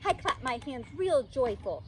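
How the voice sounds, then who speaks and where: A woman reading a children's story as a teacher would, in animated narration, recorded outdoors in a park. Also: high-pitched, a little slow, very noisy.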